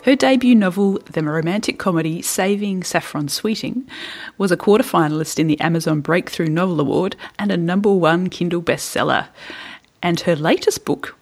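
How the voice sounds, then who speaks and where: A woman hosting a podcast, asking guests questions in a casual, playful way. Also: medium pitch. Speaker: podcast host. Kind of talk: casual interview.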